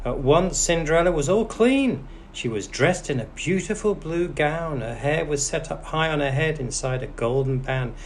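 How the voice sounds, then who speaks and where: A man reading a bedtime story aloud for English learners in an animated, deliberate manner. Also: a little high. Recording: clearly noisy background.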